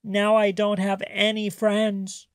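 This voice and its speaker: A man's voice: sits high, completely flat delivery, takes it slowly, smooth, no room sound, voice pushed up. A man voicing a small, lonely girl remora in a storybook, sad, tearful and childlike.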